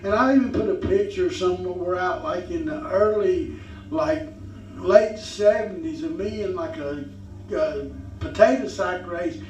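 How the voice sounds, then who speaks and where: A man's voice: high voice, animated, a little slow, a little mellow, faint music behind. A man in his fifties with a Southern US accent, hosting a podcast and telling stories in a rambling, folksy way. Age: 50s. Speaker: podcast host. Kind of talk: casual talk show chat. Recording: roomy, distant, dull sound.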